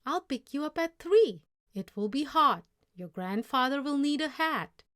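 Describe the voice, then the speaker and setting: A young male boss hands out work in a children's story, as a woman reader puts him on. He is brisk and friendly, with plain-spoken orders in a lifted pitch. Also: expressive, a bit bright, no echo, clean studio sound. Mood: instructing. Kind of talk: storybook read-aloud character voice.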